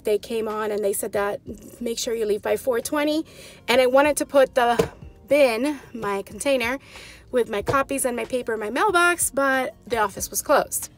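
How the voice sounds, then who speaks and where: A woman in her forties, a teacher, chatting in an upbeat, relaxed way about her classroom. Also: on the high side. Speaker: fourth grade teacher. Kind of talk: casual vlog talk to camera. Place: empty classroom and parked car. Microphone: phone held at arm's length.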